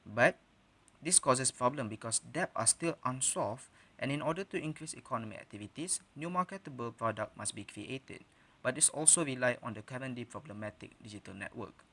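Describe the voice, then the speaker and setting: A man's voice: mid-range voice, animated, fairly quick, bright, forceful tone. A young man speaking as a student debater, giving a prepared speech in a formal, recited manner. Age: young adult.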